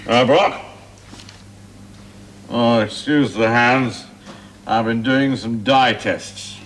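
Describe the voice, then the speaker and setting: A man's voice: medium pitch, fairly even intonation, drawn-out words, fairly bright tone. A man acting in a 1970s television drama as an awkward, earnest inventor, hesitant but insistent. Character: earnest, awkward, pleading male engineer and inventor. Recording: noisy 1970s television soundtrack.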